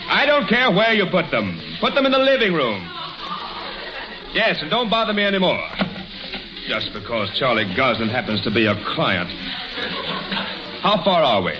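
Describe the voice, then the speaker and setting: Through heavy noise on a dull old radio recording comes a blustery middle-aged American lawyer. His pitch leaps about as he gets flustered and exasperated, in the manner of 1940s radio comedy. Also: very animated, normal speed, pauses often, a crowd in the background. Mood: sarcastic, irritated. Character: busy, exasperated middle-aged male small-town lawyer.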